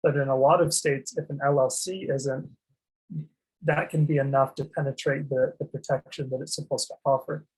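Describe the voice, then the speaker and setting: A man explaining legal and tax structures in a slide presentation, speaking in a monotone with frequent ums and restarts. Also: mid-range voice, moderate pace, slight background noise, poor audio. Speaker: asset protection presenter.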